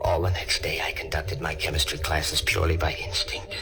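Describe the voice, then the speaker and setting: A man's voice: very deep voice, flat delivery, brisk. A male actor playing an obsessed, scheming chemistry teacher who tells his own story in a dramatic, brooding old-time radio mystery style. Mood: uneasy, haunted. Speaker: narrator and lead actor in a radio play. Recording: vintage radio recording with loud music behind.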